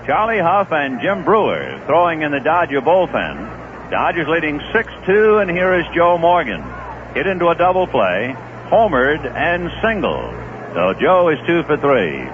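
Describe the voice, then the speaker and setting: A man's voice: a little high, unhurried. A man doing live play-by-play baseball commentary on the radio, his voice climbing with the big plays. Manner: lively, rising with big plays. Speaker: play-by-play announcer. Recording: tinny, noisy 1970s radio broadcast.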